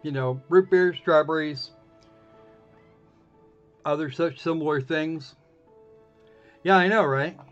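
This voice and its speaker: Man, slightly high voice, sarcastic livestream chatter, dull lo-fi mic, faint game music behind. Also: takes it slowly, pauses often. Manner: chatty, sarcastic, restarts a lot. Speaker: streamer playing a visual novel. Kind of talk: casual livestream commentary.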